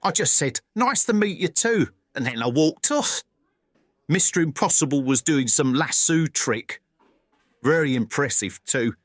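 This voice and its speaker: A cheerfully vague, forgetful giant of a cartoon man played by a male actor in an audio drama. His voice sits fairly high and is closely recorded, and he rambles as he recounts things. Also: lively intonation, measured pace, no echo, clean studio sound. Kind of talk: mock documentary interview in audio drama.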